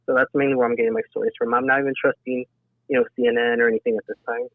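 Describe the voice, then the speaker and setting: A man's voice: mid-range voice, flat delivery, racing, no echo. A man talking casually and earnestly as a podcast interview guest, giving a personal account over a remote call. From south Texas, United States. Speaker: interview guest, emergency dispatcher. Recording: thin phone-like band-limited sound.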